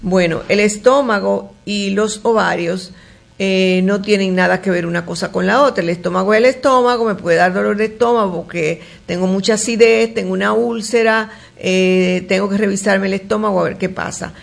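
A woman gynecologist on a call-in radio show, reading listeners' questions aloud and answering them in a warm, chatty way with many fillers and restarts. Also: medium pitch, steady tone, moderate pace, creaky. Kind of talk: call-in health advice show.

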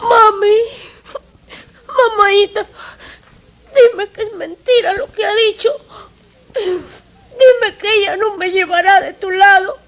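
A woman's voice: very high-pitched, fairly even intonation, takes it slowly, pauses often, pushed and bright, slight background noise, heavily acted. A woman voicing a sobbing little boy. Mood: crying. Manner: sobbing, pleading child voice. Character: small boy, clingy and tearful. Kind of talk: radio drama acting.

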